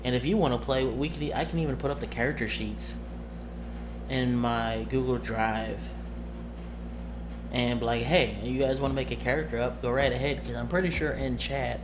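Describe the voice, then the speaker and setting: Man rambling casually, clearly noisy recording. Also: medium pitch, steady tone. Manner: halting, rambling, self-correcting. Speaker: streamer and tabletop game master. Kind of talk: casual rambling vlog to viewers.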